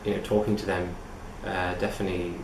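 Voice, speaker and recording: A man's voice: low-pitched, flat delivery, fast talker, gravelly. A man from Ireland, an English teacher giving hesitant interview answers with many restarts. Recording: echoey room with faint noise.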